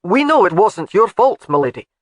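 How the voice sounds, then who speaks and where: A woman's voice: medium pitch, big pitch swings, moderate pace, no echo, clean studio sound, pitched above the performer's own voice. A woman doing a blurting young soldier. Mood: eager. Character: eager young male soldier, blurting and loyal.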